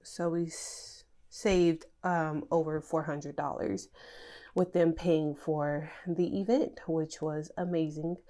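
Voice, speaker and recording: A woman's voice: sits low, takes it slowly. Congested, nasal woman chatting casually. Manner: relaxed, chatty, many fillers. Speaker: budgeting channel host. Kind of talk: casual talk over budget planning.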